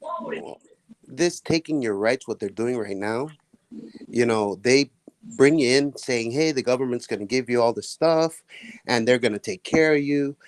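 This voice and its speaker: A man from Cuba speaking earnestly and in a measured way in a casual group voice chat. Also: mid-range voice, dry recording, rough recording.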